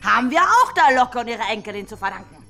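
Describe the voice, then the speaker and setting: A woman's voice: fairly high pitch, sing-song, fast talker, gravelly, bright, forceful tone, faint music behind, exaggerated voice. A woman playing a ruthless, pompous business boss, the haughty and scolding villain of a German children's radio drama. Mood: haughty, irritated.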